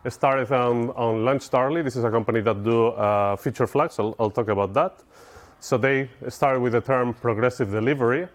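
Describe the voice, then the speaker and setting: A man's voice: medium pitch, steady tone, normal speed. A middle-aged man with a Spanish accent, giving an informal technical talk to an audience in a conference room. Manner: informal, explanatory, addresses audience with questions. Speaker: conference speaker.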